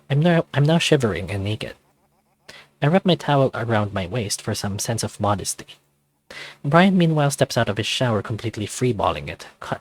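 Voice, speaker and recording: Low-voiced, playful wolf guy read by a man. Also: rough recording. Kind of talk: first-person visual novel narration and dialogue.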